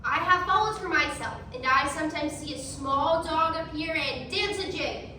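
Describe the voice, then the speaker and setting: An earnest, credulous Puritan wife acted by a woman, very high in pitch and declaiming her accusations. The stage performance is heard from far off in a heavily echoing hall with clear background noise. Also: moderate pace, pushed and bright. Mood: earnest accusing. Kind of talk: theatrical stage acting. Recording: echoey hall from far off, noisy.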